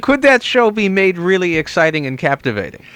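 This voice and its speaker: A man's voice: high-pitched, very animated, brisk, a bit bright, clean tone. Man hosting a radio interview.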